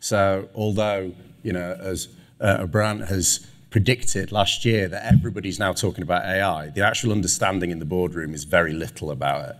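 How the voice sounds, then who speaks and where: Deep-voiced British man on a panel. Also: moderate pace. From United Kingdom. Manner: self-deprecating, chatty with many fillers. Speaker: panelist, chief digital officer. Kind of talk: conference panel talk. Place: conference stage before a live audience.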